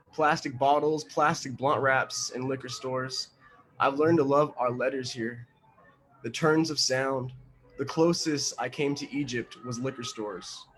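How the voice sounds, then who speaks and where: A man reading his own poems aloud over a video call, measured and rhythmic. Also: medium pitch, a bit bright, slight background noise, rough recording. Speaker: guest poet. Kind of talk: poetry reading.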